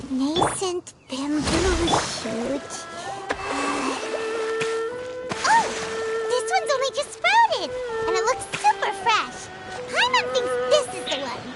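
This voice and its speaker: Squeaky little floating girl sidekick, super high and bouncy, loud game music behind. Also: wildly swooping pitch, slow, exaggerated voice. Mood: cheerful. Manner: speaks of herself in third person, squeaky and bouncy. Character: tiny floating girl companion, excitable and greedy. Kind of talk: video game cutscene dialogue.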